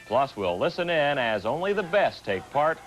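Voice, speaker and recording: A man's voice: a little high, big pitch swings, moderate pace, music in the background. A man reading a scripted television news teaser as an announcer.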